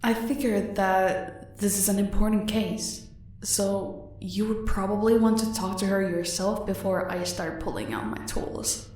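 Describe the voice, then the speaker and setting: Slow, laconic henchwoman in a cavernous room, woman acting. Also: mid-range voice, fairly even intonation, a little mellow, recorded from a distance, lightly acted. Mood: deferential, unhurried. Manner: terse, understated, deferential. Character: laconic female henchwoman torturer, loyal to her boss. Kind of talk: audio roleplay acting. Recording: very echoey room, away from the mic.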